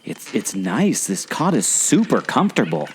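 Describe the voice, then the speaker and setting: A man's voice: mid-range voice, wildly swooping pitch, normal speed, a little hiss or hum. A man playing a hungry, distracted, good-natured sidekick in a scripted audio drama, speaking in a playful way. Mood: bewildered. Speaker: podcast co-host.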